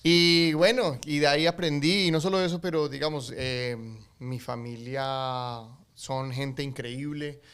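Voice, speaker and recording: Middle-aged man, Colombian accent, slightly high and smooth voice, chatty interview guest who restarts often. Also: a little slow, few pauses. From United States, Colombian family. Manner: animated storyteller with many fillers and restarts. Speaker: guest, actor and coffee entrepreneur. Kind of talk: casual interview storytelling in Spanish.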